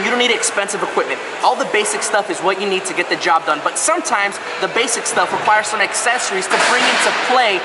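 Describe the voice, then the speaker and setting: A man in his twenties vlogging casually in a gym, talking to the camera in a playful, joking, animated way. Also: high voice, very noisy. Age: 20s. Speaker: fitness vlogger reviewing gym gear. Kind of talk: casual vlog talk to camera. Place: large busy commercial gym. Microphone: handheld camera at arm's length. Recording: loud music behind the voice.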